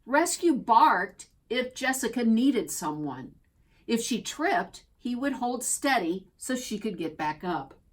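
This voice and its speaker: A woman reading a children's picture book aloud as a storyteller, expressively and deliberately, drawing out key words. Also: a little high, very animated. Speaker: storybook reader.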